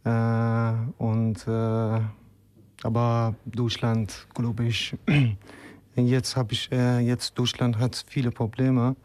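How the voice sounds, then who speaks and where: Man, slow, halting, many hesitations, radio guest. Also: mid-range voice, completely flat delivery, a little mellow. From Afghanistan. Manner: halting non-native speech full of hesitations. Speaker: interview guest.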